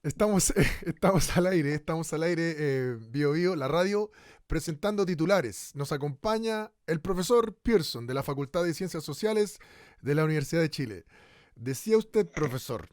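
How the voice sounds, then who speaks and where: A man playing a brisk radio host, dry. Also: on the high side, animated, no echo, close to an everyday voice. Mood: lively. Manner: brisk, presenting. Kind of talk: radio drama acting.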